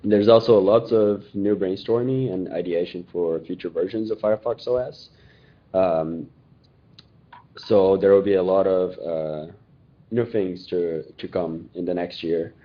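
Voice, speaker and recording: A man with a very deep, raspy voice, hoarse from a cold. He gives a meeting update haltingly, with lots of fillers. The sound is dull and muffled, like a web call. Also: fairly even intonation. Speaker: Firefox OS support contributor.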